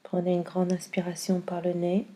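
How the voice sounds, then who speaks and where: A woman guiding a yoga nidra meditation in a calm, soothing, unhurried way with long pauses. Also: on the low side. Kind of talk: slow guided meditation.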